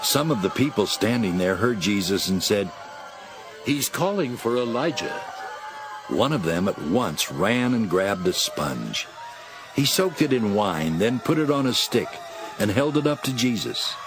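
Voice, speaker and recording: A man narrating a dramatized Bible as expressive, scripted storytelling. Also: on the low side, measured pace. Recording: music behind.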